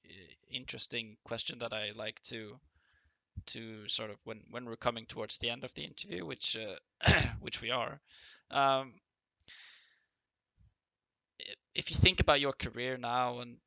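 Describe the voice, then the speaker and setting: Male podcast host, sharp tense tone, slightly hoarse, dry room, chatty and halting. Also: mid-range voice, steady tone, no room sound. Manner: friendly, rambling, lots of restarts and fillers. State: losing his voice, clearing throat. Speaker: interview host. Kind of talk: casual interview over voice chat.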